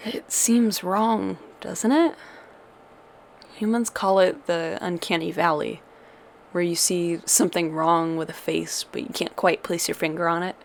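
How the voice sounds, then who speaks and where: A woman's voice: on the low side, steady tone, measured pace, gravelly, slight background noise. A woman playing a tender, doting witch girlfriend who soothes a monster lover with old-fashioned endearments in an ASMR audio roleplay. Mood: calm, instructive.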